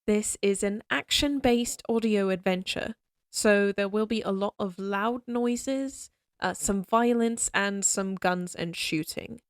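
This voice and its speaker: A woman's voice: mid-range voice, slow, no room sound, studio quality. A woman who creates audio roleplays, giving a friendly spoken introduction that speaks directly to her listeners. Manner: friendly, direct address to the audience.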